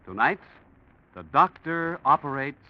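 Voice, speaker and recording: Lively 1940s radio sponsor announcer, man. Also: a little high, a little slow. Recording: dull vintage radio broadcast.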